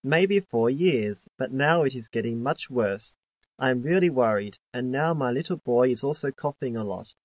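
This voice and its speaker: A man reads exam dialogues in a measured, plain textbook manner. His voice is mid-pitched, and the close recording is dry with no room sound. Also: lively intonation, no echo. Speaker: dialogue reader on a listening test. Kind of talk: scripted reading of exam dialogues.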